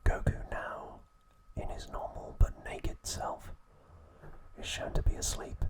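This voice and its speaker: A man whispers his way through an ASMR recap. His voice is breathy and unvoiced from start to finish. He delivers the narration in hushed, measured short phrases, as a host guiding the listener through trivia.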